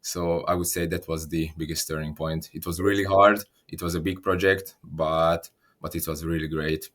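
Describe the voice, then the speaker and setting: Young man, deep and even, measured guest answers. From Slovakia. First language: Hungarian. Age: 20s. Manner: careful, repeats words while thinking. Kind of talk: interview answers.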